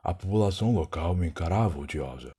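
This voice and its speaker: Proud, weary time traveler played by a man, Brazilian accent, low husky voice, solemn dramatic narration for an audio drama. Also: expressive, fast, warm tone. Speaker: narrator and lead character. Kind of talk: dramatic first-person scripted narration.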